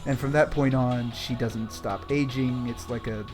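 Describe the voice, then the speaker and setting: A man with a mid-pitched voice retells a story casually, as a co-host would. His delivery is wry and colloquial, and music plays clearly behind him. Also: fairly even intonation, a little slow. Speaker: podcast co-host.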